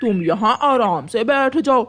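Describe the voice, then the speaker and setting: A woman's voice: a little high, animated, measured pace, noisy background. A woman voicing a flirtatious, bossy male crow husband in a cartoon story, with an emphatic, wheedling delivery. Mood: flirtatious, coaxing. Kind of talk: Hindi cartoon story acting.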